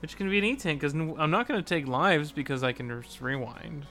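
A man's voice: fairly high pitch, animated, a little fast, fairly bright tone, faint music behind. A man co-hosting a podcast, chatting informally and jokingly about a video game. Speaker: podcast co-host.

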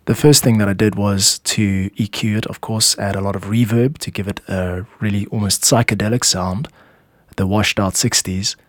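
Low-voiced man hosting in a dry studio. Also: steady tone, normal speed, dry recording. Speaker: podcast host and music composer. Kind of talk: scripted explanatory narration.

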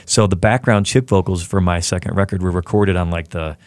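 A man interviewing a fellow musician in relaxed, talkative chat, asking long questions. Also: low voice, a little fast, no room sound.

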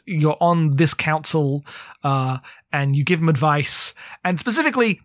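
Male podcast co-host, slightly high-pitched, informal and meandering book talk, close dry sound. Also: pushed and bright, no echo. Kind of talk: casual chat about a sci-fi book.

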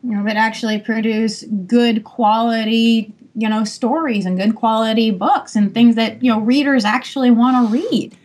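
A woman publisher speaks frankly and with emphasis, peppering her talk with fillers. Her voice sits a little high. She is a guest on a podcast panel. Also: moderate pace, few pauses, darkish tone. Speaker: publisher and editor.

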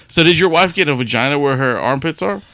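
A man's voice: a little high, expressive, fast talker, fairly bright tone, no room sound. A man chatting casually as a podcast co-host, curious and often repeating his words. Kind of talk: casual chat.

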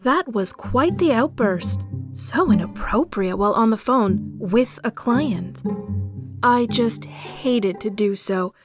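A woman playing a smug, threatening office supervisor in an audio drama, taunting with mock sympathy. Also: fairly high pitch.

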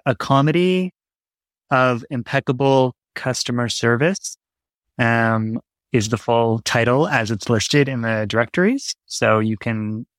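A man's voice: medium pitch, a bit bright, no echo. A man speaking as an interview guest in a casual, reflective way, tentative and often correcting himself. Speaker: podcast guest, writer and creator.

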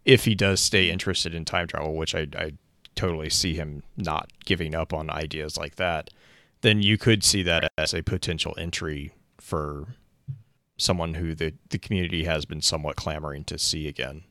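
A man's voice: very deep voice, fairly even intonation, moderate pace, bright, forceful tone, no echo, studio quality. A man in a casual group chat who speaks thoughtfully and hedges his points. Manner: thoughtful, hedging with "I mean".

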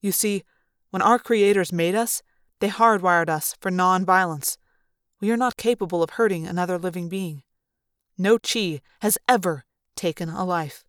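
A woman voicing a calm, gentle ancient male android who speaks softly and earnestly. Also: fairly low pitch, smooth, no room sound, clean studio sound, lightly acted. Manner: soft, measured, earnest.

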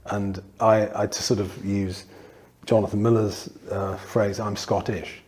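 A man from northeast England hosts a political conversation in a somewhat low voice. He circles his questions with restarts, fillers and lively asides before landing them. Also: measured pace, background noise. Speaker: interviewer. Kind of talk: political discussion.

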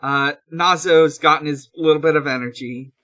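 A podcast host casually recapping a show in a halting, hesitant way. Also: mid-range voice, bright, forceful tone, lo-fi sound. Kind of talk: casual episode recap chat.

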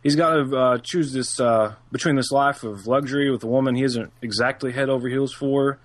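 A man with a flat, monotone delivery walks through a film's plot on a casual podcast. His pitch sits in the middle for a man, and he talks in an even, unhurried way with small fillers. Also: dry recording. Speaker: podcast co-host giving film synopsis.

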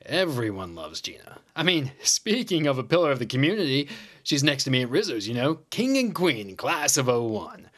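A man playing a smug, easygoing high school teacher in a naturalistic audio drama, casual and self-satisfied. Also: very animated, moderate pace. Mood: defensive, boastful.

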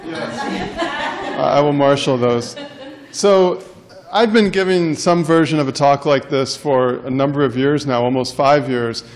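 A male professor giving a lecture in a classroom, loud and wordy by his own account. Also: medium pitch, measured pace. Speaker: professor and center director. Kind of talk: university lecture. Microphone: handheld mic.

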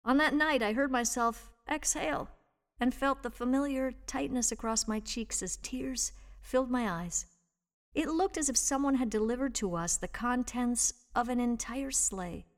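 A woman reading a written personal piece aloud as scripted narration, with a measured, expressive storytelling delivery. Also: on the high side. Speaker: volunteer reader and host of an audio reading service.

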